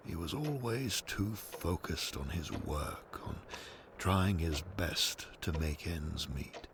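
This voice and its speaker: A man narrates an audio drama in a low, gravelly voice. His storytelling is slow and measured, like a storybook being read out. There is clear noise and ambience behind him. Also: expressive.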